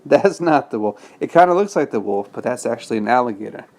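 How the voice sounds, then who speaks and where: A man's voice: medium pitch, lively intonation, fast talker, a little mellow. A man reading a bedtime story as a father to his child, patiently explaining in simple words with check-in questions.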